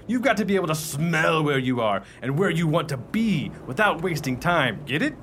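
Guy playing a folksy brash ice cream salesman in a radio play, voice kinda high and bouncing all over. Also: sing-song, fairly bright tone, a little hiss or hum. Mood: boastful, gung-ho. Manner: fast-talking salesman patter, folksy and brash. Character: pushy, cheerfully overconfident male ice cream truck driver.

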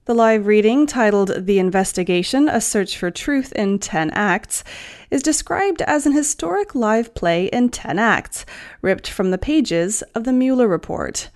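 A woman's voice: mid-range voice, animated, unhurried, darkish tone. A woman hosting a podcast, reading scripted entertainment news. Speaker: podcast host and newsreader.

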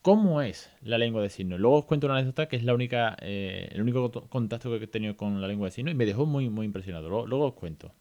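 A man's voice: medium pitch, animated, fast, few pauses, darkish tone, dull audio. A man hosting a podcast, asking his guest questions in a chatty, rambling way. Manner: chatty, asks questions in friendly runs. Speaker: podcast host. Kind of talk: casual interview questions.

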